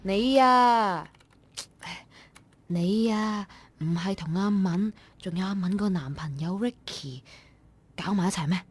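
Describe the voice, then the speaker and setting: A woman's voice: medium pitch, slow pace, slightly rough. An actress playing a nosy young female office colleague in a radio drama, talking in a blunt, colloquial, gossipy way. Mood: probing. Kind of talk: Cantonese online radio drama acting.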